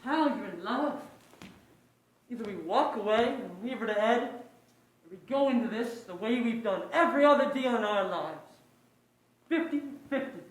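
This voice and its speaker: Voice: medium pitch, lively intonation, moderate pace, pauses often, lightly acted. Scheming, persuasive crime partner for a noir stage play, performed by an actor; clipped, urgent delivery in an echoing hall. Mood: calculating, pressing. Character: hard-boiled, scheming crime partner pushing a deal. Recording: echoey stage recording.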